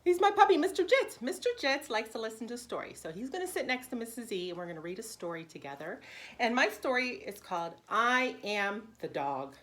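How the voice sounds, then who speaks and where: A woman with a fairly high, very lively voice reads aloud for young children. She speaks simply and explains as she goes, stressing words expressively like a preschool teacher. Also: brisk.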